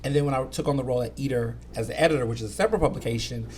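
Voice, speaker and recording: A man in his thirties from Houston with a light Southern accent, chatting as a guest about his work as a food editor, animated and full of "you know". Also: medium pitch, rapid-fire, slight background noise. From Houston, Texas. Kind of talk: casual conversation.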